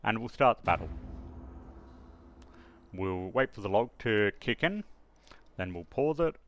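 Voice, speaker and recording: Male tutorial presenter, lowish pitch, informal step-by-step explainer delivery. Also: lively intonation, measured pace, fairly bright tone. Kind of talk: casual screen-recorded software tutorial narration.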